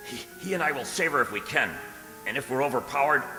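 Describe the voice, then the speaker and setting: A man's voice: mid-range voice, fast talker, natural voice. A man acting an anxious, lovelorn man in a radio drama, earnest and pleading, with hesitant, stammering speech. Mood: desperate. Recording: long hall reverb, music underneath.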